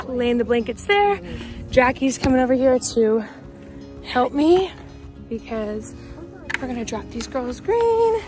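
A woman's voice: high-pitched, very animated, a little slow, pauses often, music in the background. A young woman in her twenties chatting casually as she vlogs outdoors on a farm, filming herself on a phone held at arm's length. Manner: chatty, bubbly, talks to animals in a baby voice. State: out of breath while running. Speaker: vlogger.

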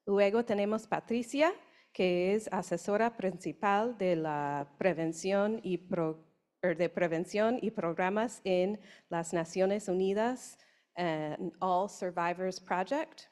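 Slow, halting woman moderator. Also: mid-range voice, darkish tone. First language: English. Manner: hesitant, halting, slow with restarts. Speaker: session moderator. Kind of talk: reading speaker introductions. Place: conference room on stage. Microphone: handheld mic.

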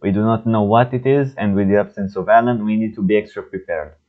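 A man's voice: on the low side, fast, narrow, tinny audio, lightly acted. A man playing earnest, no-nonsense police officers in an amateur stop-motion film, speaking in a plain, matter-of-fact way. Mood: resolute.

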